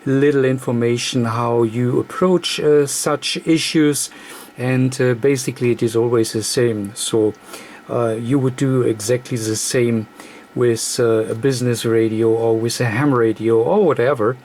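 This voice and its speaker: A man's voice: mid-range voice, steady tone, a little mellow. A man who is not a native English speaker, giving an unscripted hands-on technical demonstration in short, hesitant chunks. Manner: halting, hesitant phrasing in short broken chunks. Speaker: host of electronics repair demonstrations. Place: indoor electronics workbench.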